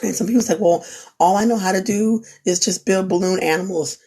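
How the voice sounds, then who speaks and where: A woman's voice: mid-range voice, a little fast, mellow, husky. A young woman who is losing her voice gives an earnest, repetitive pep talk on a live stream. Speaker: small business owner, crafter. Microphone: phone.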